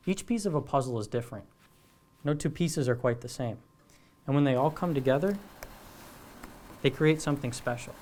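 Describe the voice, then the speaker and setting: A man narrating a student television news report in a clear, even delivery. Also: medium pitch.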